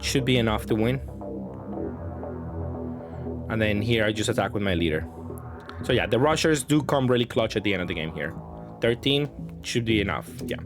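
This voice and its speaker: A man's voice: lowish voice, lively intonation, fairly quick, over music. A man giving casual card game commentary, thinking aloud and hedging his choices as he plays.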